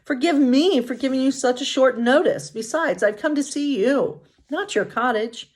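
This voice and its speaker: A woman's voice: high voice, moderate pace, darkish tone, pitched above the performer's own voice. A woman voicing a sweet, gracious young fairy-tale princess in a gushing, polite, girlish manner.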